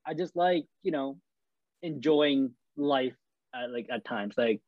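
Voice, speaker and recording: A man's voice: mid-range voice, slow pace, no room sound. Young guy rambling casually, says like constantly, dry muffled sound. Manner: rambling, heavy use of "like". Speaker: student podcast co-host. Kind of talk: casual chat.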